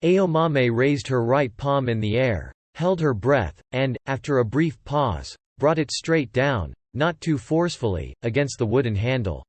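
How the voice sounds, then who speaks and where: A man narrating fiction from a script as an audiobook narrator, in a measured, descriptive way. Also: mid-range voice, dry recording.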